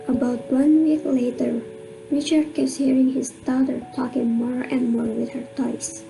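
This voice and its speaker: A woman narrating an amateur radio drama in a measured, scripted reading manner. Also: high voice, creaky, rough recording. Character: storytelling narrator of a family mystery. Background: music under the voice.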